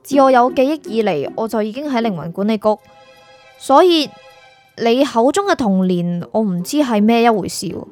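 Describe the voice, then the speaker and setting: A woman's voice: on the high side, animated, moderate pace, a little mellow, faint music behind, natural voice. A woman with a Hong Kong Cantonese accent playing an aloof, matter-of-fact soul prosecutor who is naive about humans, in an audio drama.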